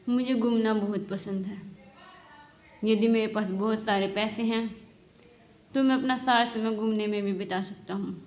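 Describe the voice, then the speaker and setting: A woman with a mid-pitched voice recites a satirical spoken-word piece in the first person. Her delivery is deliberate, built on short declarative phrases. The recording is echoey, with the room clearly audible around her. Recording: roomy, echoing voice recording.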